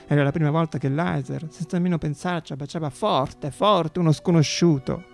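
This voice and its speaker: A man narrating a theatrical radio monologue as an actor, with dramatic pauses and repeated phrases. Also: on the high side, gravelly, soft background music.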